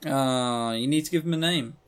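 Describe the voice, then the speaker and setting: A man with a mid-pitched voice talks casually as a podcast co-host. His delivery is unscripted and wry, with a self-deprecating streak. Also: normal speed, clear, resonant tone. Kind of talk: casual unscripted podcast chat about games.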